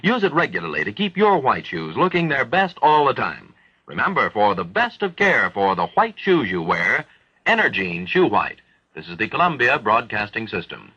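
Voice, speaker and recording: A man reading a 1940s radio advertisement as an announcer, with salesman-like emphasis and a husky voice. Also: mid-range voice, big pitch swings, normal speed, pushed and bright, dry recording. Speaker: commercial and network announcer. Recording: dull, dry vintage radio recording.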